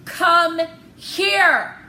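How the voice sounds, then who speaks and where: A woman's voice: very high voice, bright, forceful tone, voice pushed up, full character voice. Woman reading as menacing angry father, in a roomy recording. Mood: furious shouting. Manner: barked commands, slow clipped threats. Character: menacing, angry father, big grown man. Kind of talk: children's novel read-aloud. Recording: roomy, noisy home recording.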